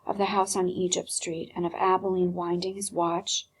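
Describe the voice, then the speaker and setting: A woman teacher reading a storybook aloud expressively, with dramatic pauses and hushed phrases. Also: fairly low pitch, little pitch movement, husky, rough recording.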